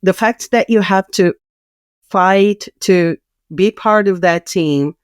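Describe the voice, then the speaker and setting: A woman's voice: medium pitch, takes it slowly, clean tone, dry recording, studio quality. A woman from South America whose first language is Spanish, speaking English with a South American Spanish accent as a podcast guest. She talks earnestly, with many restarts and repeated words. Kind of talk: casual podcast conversation.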